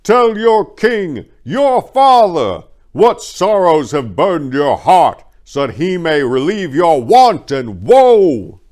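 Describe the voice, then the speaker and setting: Booming, grandiose Persian king. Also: sing-song, darkish tone. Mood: magnanimous, grand. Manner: declamatory, drawn-out, theatrical proclamation. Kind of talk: dramatic storybook reading.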